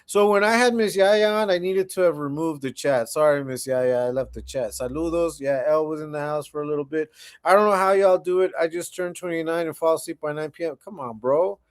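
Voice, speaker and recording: A man's voice: a little high, a little fast, clean tone. A man in his forties hosting a casual livestream, chatting laid-back with viewers and often repeating himself. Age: 40s. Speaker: livestream host.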